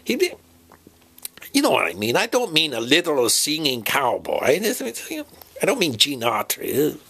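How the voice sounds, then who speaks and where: A man voicing a fussy, forgetful professor in a comedy sketch, halting, muddled and repeating himself. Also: a little high, wildly swooping pitch, vocal fry, pushed and bright, breathy edge, exaggerated voice. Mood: fussy, exasperated. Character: doddering, fussy, forgetful male professor planning an expedition. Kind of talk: improvised comic dialogue sketch.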